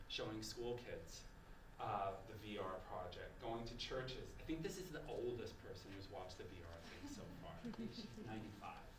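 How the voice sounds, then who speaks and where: A man lectures in a loose, rambling way, doubling back and restarting often. His voice carries from some distance off the mic into a roomy hall. A faint hum of ambience sits underneath. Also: on the low side. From United States. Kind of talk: conversational festival lecture. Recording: echoey room, voice from off-mic.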